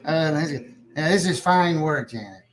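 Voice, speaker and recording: A man's voice: on the high side, animated, moderate pace, close to an everyday voice. A man playing a chatty, genial small-town official in a sitcom table read, rambling in a folksy way and repeating himself. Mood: delighted, fascinated.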